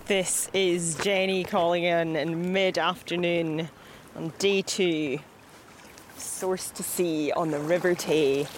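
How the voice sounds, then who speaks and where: A woman's voice: fairly low pitch, background noise. Cheerful Scottish woman on a river. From Scotland. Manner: cheerful, chatty. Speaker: adventurer on a river journey. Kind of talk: casual video diary. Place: outdoors on a river in a raft.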